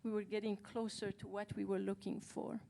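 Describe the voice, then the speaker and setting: A woman co-translator who is a native Farsi speaker, talking on a panel, hesitant and pausing often to search for words. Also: mid-range voice, level tone, creaky, warm tone, slight background noise. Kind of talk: casual panel talk.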